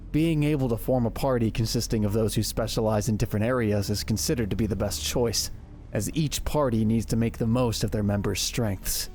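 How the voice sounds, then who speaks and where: A man's voice: fairly low pitch, a little mellow, close to an everyday voice. A man voicing a young hero adventurer in a manga dub, casual and sardonic, both narrating and speaking in dialogue. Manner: casual, wry. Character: young male hero-healer adventurer, sardonic, easily flustered. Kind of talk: anime-style manga dub acting and first-person narration.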